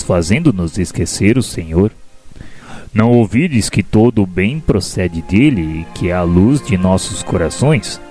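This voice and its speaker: Guy acting wise old-school spirit teacher man, deep voice, solemn n gentle, radio drama, bit of music. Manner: measured, didactic, solemn.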